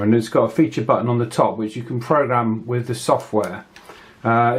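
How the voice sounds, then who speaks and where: A man hosting a casual, unscripted hands-on product review, speaking hesitantly and pausing often mid-phrase. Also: medium pitch. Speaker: radio review channel host.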